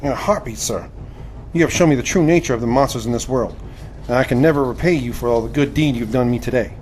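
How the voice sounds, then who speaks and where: An actor playing an earnest, courteous young gentleman recruit of the 1870s in an audio drama. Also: mid-range voice, fast, slight background noise, close to an everyday voice, rough recording. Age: 20s.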